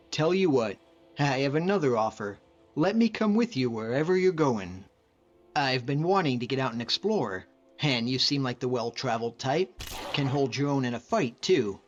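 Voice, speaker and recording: A man playing a friendly, modest lone-wolf hunter as a video game companion, speaking in an earnest, measured way. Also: medium pitch, muffled recording. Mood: warm, easygoing.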